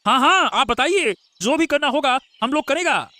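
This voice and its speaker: Actor as a high, sharp-voiced rustic helper. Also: lively intonation, normal speed, no room sound. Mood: soothing, eager. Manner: colloquial, ungrammatical, placating. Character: eager rustic helper, simple and soothing. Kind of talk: storybook audio drama.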